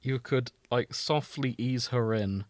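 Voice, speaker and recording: A man's voice: medium pitch, unhurried, a little mellow. A man co-hosting a podcast, chatting in a casual, joking way. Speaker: podcast host. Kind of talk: casual comedic podcast chat.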